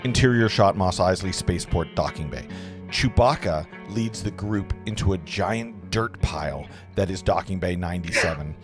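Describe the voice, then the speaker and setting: A man with a lowish voice narrates scene directions at an informal script reading. He reads plainly and sometimes trips over words and fixes them. Guitar music plays clearly behind him. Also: moderate pace. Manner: stumbles over words and corrects himself.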